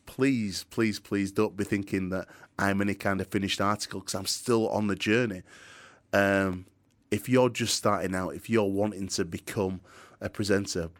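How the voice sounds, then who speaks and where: Yorkshire man with a deep radio voice. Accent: Yorkshire, softened. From Yorkshire, England. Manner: energetic, earnest, some restarts. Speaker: DJ and presenter, interview guest. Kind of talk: interview answers, storytelling. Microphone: studio microphone.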